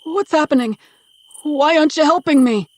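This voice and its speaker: A woman voicing a panicked older captive father who shouts and pleads, denying everything. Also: very high-pitched, extremely slow, pitched above the performer's own voice, heavily acted. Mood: frantic denial. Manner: desperate, shouting, protesting.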